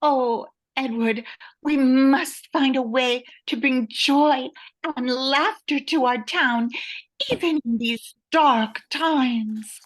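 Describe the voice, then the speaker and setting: A woman acts a smitten school secretary in a radio play. She speaks very slowly in a slightly high voice. Her manner is tender and encouraging. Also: poor audio. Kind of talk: amateur radio play acting.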